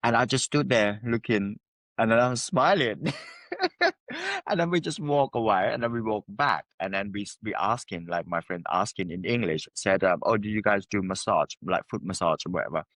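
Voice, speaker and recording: Animated low-voiced man telling stories. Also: moderate pace, no room sound. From Laos. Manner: animated storyteller, broken English. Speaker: podcast co-host. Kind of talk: casual storytelling chat.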